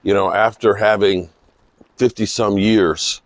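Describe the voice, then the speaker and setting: A man with a slightly hoarse voice giving unscripted, emphatic sports commentary, pausing mid-sentence for emphasis. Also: on the low side, steady tone, dry recording. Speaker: football vlogger giving post-game reaction. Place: outdoors in misty rain.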